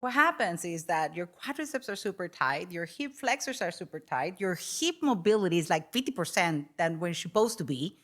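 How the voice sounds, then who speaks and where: A woman's voice: lowish voice, lively intonation, normal speed, few pauses, fairly bright tone. A woman guest on a podcast answers questions about her stretching work. She explains things plainly and matter-of-factly, walking through examples. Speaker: guest, stretching studio owner. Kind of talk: casual interview answers.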